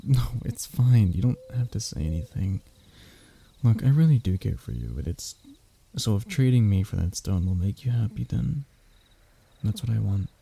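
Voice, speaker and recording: A man playing a lovesick young royal heir in an intimate romance roleplay, stammering and hesitant, with a husky, breathy voice. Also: fairly low pitch, big pitch swings, normal speed, mellow, a little hiss or hum. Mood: hurt, resigned. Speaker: voice actor in audio roleplay.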